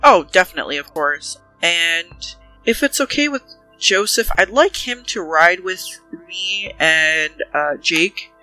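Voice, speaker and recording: A woman's voice: fairly low pitch, lively intonation, a little slow, bright, forceful tone, faint music behind, poor audio. A woman improvising in-character talk in a tabletop roleplaying game, agreeable and a little hesitant.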